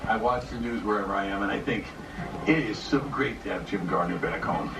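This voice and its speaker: A man's voice: lowish voice, fairly quick, background noise. A male business spokesman giving a heartfelt tribute in a commercial. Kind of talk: tribute advertisement. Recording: echoey broadcast audio from far off.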